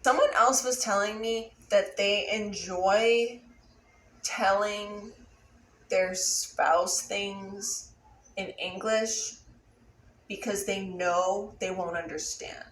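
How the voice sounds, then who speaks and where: A woman's voice: mid-range voice, fairly even intonation, takes it slowly, fairly bright tone. Chatty young American woman, echoey room. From Buffalo, USA. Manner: chatty, explains at length with frequent "like". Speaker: vlogger. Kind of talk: casual chat. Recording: echoey room, mic at a distance, faint noise.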